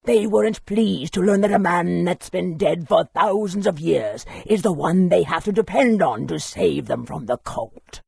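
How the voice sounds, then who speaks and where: An actor playing a courteous, bookish town historian in a fantasy game, speaking politely and in a measured, scholarly way. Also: male voice, high voice, vocal fry, darkish tone, breathy edge, no echo, exaggerated voice.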